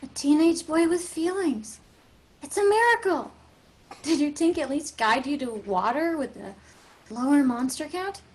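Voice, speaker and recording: A woman acts out a young heroine with a high voice. She is bossy and wry, taking charge of her sci-fi adventure with sarcasm. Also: lively intonation, a little slow. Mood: teasing. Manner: wry, assertive. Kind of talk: acted table read.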